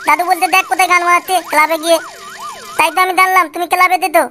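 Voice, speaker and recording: A woman's voice: very high-pitched, flat delivery, very fast, bright, forceful tone. A woman voicing a whiny, stubborn little boy in a comic cartoon, insistent and repetitive. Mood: accusing. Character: nagging, stubborn little boy demanding a soft drink. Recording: clear background noise.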